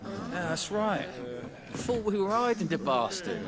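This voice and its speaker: High, animated, blunt villager. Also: male voice, a little slow, crowd noise. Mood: indignant. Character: indignant, blunt male villager. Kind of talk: video game cutscene acting.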